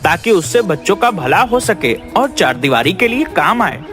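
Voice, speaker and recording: A clever young villager, acted by a man, reasoning respectfully in a storybook audio drama. The voice is high and lively, with quiet music behind. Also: moderate pace. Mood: earnest, respectful. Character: clever, respectful young peasant elder brother.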